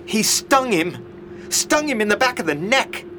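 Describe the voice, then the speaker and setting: An actor in an audio drama playing an earnest young man in his twenties, easily flustered and halting. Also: on the high side, background noise. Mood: observing. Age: 20s. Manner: halting, excitable.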